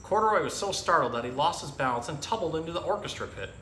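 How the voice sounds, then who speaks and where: Bright-voiced man in an echoey room. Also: a little high, animated, fast talker. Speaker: zookeeper presenting an animal storytime. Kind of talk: casual educational talk and picture-book reading for children. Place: indoor room with hard walls and tiled floor. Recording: echoey room, faint background noise.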